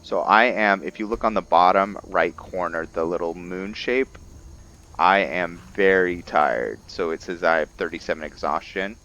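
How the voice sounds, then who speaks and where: A man giving casual commentary on a game roleplay, thinking aloud in an unhurried way. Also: low voice, sharp tone, muffled recording. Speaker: gamer narrating roleplay playthrough.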